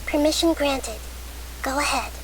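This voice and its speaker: A woman voicing a small, calm, wise young female deity in a video game, speaking in a composed, careful, formal manner. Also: sits high, unhurried, noisy background.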